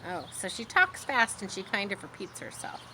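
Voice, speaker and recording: A woman reading a children's story aloud expressively, pausing to explain words in simple asides. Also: medium pitch, very animated, sharp tone, slight background noise, rough recording. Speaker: storybook reader.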